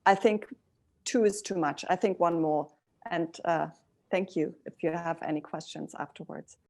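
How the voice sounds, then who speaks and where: A woman giving a presentation at a forum, unhurried and hesitant with fillers. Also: lowish voice, darkish tone.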